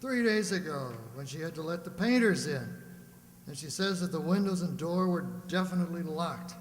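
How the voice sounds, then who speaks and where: An actor playing a brisk, plain-spoken police lieutenant in a detective radio play. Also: male voice, on the high side, lively intonation, reverberant, long reverb tail, lightly acted. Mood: businesslike.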